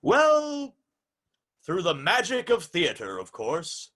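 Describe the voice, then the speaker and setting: Animated old-time radio announcer, played by a man. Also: sits high, slow pace, exaggerated voice. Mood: proud, explaining. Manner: formal, polite showman. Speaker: radio show host.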